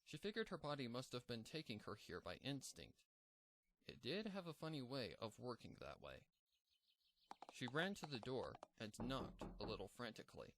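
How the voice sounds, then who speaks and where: A man narrating a dark fan fiction story in a measured, somber reading. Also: medium pitch, sing-song, a little hiss or hum. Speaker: fanfic narrator.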